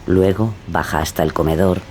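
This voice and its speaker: A man giving dramatic scripted narration for a true-crime story in a measured, grave manner. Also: low voice, a little mellow, a little hiss or hum.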